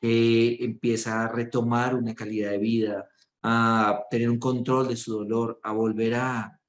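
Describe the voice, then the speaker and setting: A man's voice: medium pitch, little pitch movement, unhurried. Earnest Bogotá-accented man in echoey room. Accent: Colombian Spanish (Bogotá). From Colombia. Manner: earnest, reflective, with frequent fillers and restarts. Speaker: physician and research director. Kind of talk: promotional interview monologue. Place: clinic.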